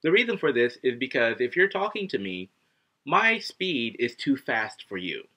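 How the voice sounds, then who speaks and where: A man in his thirties teaching English to camera at home, animated and acting out little scenes as he explains. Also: mid-range voice, moderate pace. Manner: animated, acts out students and himself, gestures a lot. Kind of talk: casual video lesson to camera. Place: living room at home, indoors. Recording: dull lo-fi webcam audio.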